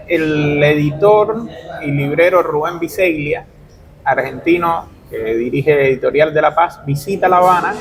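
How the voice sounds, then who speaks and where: Cuban man, higher-pitched, crowd murmur behind. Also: poor audio. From Cuba. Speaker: author being interviewed. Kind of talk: conversational, explanatory interview answers.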